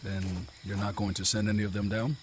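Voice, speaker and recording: A man plays a loyal, cautious soldier in a radio drama. His voice is fairly low, and he speaks respectfully, with some hesitation. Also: level tone, brisk, slight background noise, lightly acted. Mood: calm. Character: loyal, cautious army sergeant. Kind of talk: classic radio drama acting.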